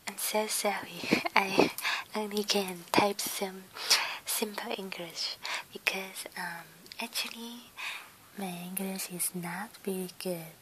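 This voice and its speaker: A woman whose first languages are Cantonese and Mandarin, hosting a soft-spoken ASMR chat from home in a breathy whisper, hesitant and pausing often. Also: medium pitch, steady tone, slight background noise, poor audio. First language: Cantonese and Mandarin Chinese. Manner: hesitant, simple phrasing with many pauses. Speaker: ASMR channel host. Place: at home at her computer. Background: faint keyboard typing clicks.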